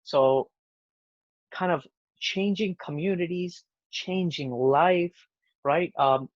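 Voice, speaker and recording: A man's voice: on the high side, slow, no room sound. A man with an American accent giving conversational, explanatory interview answers, often checking in with the listener, over slightly dull call audio. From born in India, moved to the United States young. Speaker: podcast guest, networking expert.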